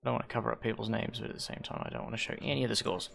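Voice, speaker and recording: A hoarse man hosting a league stream on a headset mic, rambling and correcting himself as he thinks aloud. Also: medium pitch, level tone, very fast, a bit bright. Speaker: league host and shoutcaster. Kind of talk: casual livestream commentary.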